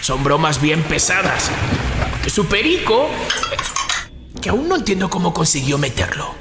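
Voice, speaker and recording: Man acting stammering, high-pitched older janitor. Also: big pitch swings, unhurried. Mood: gossipy, ominous. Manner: stammering, flustered, over-apologetic. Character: apologetic, chatty older campus maintenance man, harmless-seeming gossip. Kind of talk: radio soap opera acting. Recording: roomy echo, music underneath. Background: music.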